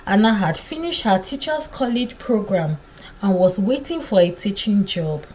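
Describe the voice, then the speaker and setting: A woman narrating a scripted folktale in a dramatic, deliberate storytelling manner. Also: medium pitch, lively intonation, a little mellow, a little hiss or hum, rough recording.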